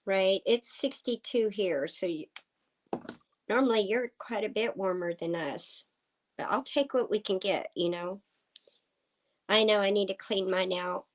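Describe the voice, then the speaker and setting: A woman from Oklahoma hosting a casual livestream chat with viewers. Her voice is slightly hoarse. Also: mid-range voice. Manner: slow, chatty, talking to viewers. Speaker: livestream host. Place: farm home indoors. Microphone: computer streaming setup.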